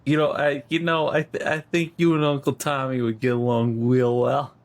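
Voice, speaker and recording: A man's voice: mid-range voice, level tone, moderate pace. A man playing a tabletop game, joking and laughing as he rambles through his lines. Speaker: player. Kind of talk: casual tabletop role-play.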